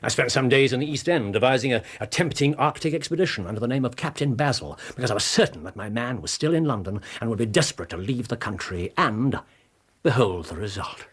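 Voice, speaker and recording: A man acting in a radio drama as a shrewd, self-assured Victorian detective, articulate and dryly witty, with a husky voice. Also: mid-range voice, lively intonation, fast, fairly bright tone. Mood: assured, pleased.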